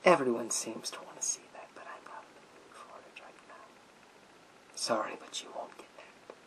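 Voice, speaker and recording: A man playing a menacing, theatrical psychic warrior in a breathy whisper for ASMR roleplay, speaking slowly through a gas mask. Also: mid-range voice, a bit bright, natural voice, rough recording. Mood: smug. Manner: slow, drawn-out, theatrical delivery. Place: small room lined with bookshelves. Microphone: speaking through a gas mask, close to camera.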